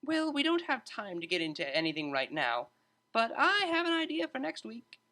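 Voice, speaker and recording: A narrator voicing a cheerful, meddling male pony psychology tutor with an animated lecturing manner. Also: medium pitch, moderate pace, pitched above the performer's own voice. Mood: excited.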